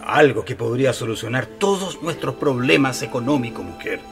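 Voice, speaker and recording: An actor playing an anxious, downcast married shopkeeper in a radio drama, hesitant and repeating himself. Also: male voice, mid-range voice, lively intonation, normal speed, soft background music, natural voice. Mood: agitated.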